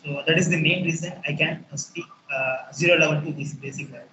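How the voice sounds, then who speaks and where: A young man from Tamil Nadu with a Tamil accent, a native Tamil speaker practicing English in a halting, hesitant way over a call. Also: on the high side, steady tone, sharp tone, roomy sound, highs cut off, a little hiss or hum. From Tamil Nadu, India. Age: 20s. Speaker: English learner. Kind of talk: casual conversation practice.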